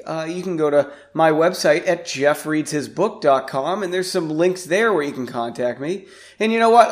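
A man, raspy and congested from a sinus infection, hosting a podcast in a rambling, self-deprecating way. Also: on the high side, a little mellow. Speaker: podcast host. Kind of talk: casual podcast chat.

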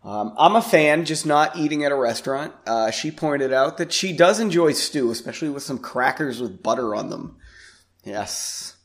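A man, raspy and congested from a sinus infection, hosting a podcast in a rambling, self-deprecating way. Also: medium pitch, animated, moderate pace. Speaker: podcast host. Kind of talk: casual podcast chat.

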